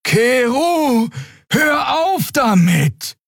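Man voicing a slow, stern old horned female creature. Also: very high-pitched, very animated, vocal fry, no room sound, clean studio sound, voice pushed up, full character voice. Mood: alarmed. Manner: sluggish, drawn-out, commanding.

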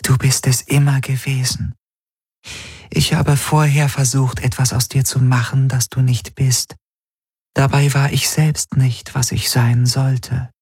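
A man voicing a sorrowful, devoted female apparition with a breathy, hushed, halting delivery, in an audiobook story. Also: medium pitch, little pitch movement, dry recording, studio quality, voice pushed up. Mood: sad, devoted. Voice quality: breathy, whispery. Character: fading glassy dream apparition, female, sorrowful devoted servant.

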